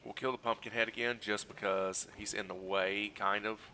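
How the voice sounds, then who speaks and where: A man streaming a video game while sick, hoarse and scratchy, talking through his play in a relaxed, explanatory way and greeting his chat. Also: normal speed. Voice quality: scratchy, low energy. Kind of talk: casual gameplay walkthrough commentary.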